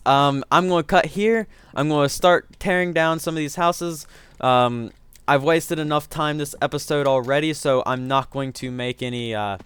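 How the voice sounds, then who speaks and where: A man's voice: mid-range voice, a bit bright, smooth, dry recording. A man giving rambling, self-correcting let's-play commentary, his voice strained from getting sick. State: voice strained from illness. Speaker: gaming commentator.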